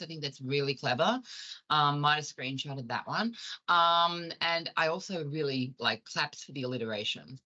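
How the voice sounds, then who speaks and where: Woman, playful moderator, low voice with a bright edge, dull call audio. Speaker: webinar moderator. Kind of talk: casual moderating.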